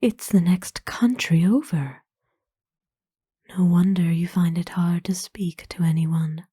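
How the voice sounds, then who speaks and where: A woman playing a kind, courtly, centuries-old vampire in an ASMR roleplay, speaking tenderly and formally in a breathy whisper. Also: on the low side, fairly even intonation, warm tone, dry recording, clean studio sound, heavily acted. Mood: curious, soft. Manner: formal, slow, tender, hesitant pauses. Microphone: close dry microphone.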